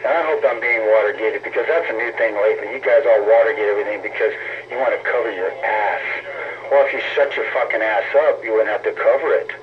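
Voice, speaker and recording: A man's voice: fairly low pitch, quick pace. Male CB operator, narrow tinny transmission with light noise; sarcastic, foul-mouthed, needling in casual argument. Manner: profane, taunting, sarcastic. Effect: CB radio transmission. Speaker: CB radio operator in an on-air argument. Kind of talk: heated casual trash talk over CB radio. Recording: tinny narrow-band CB radio, noisy static.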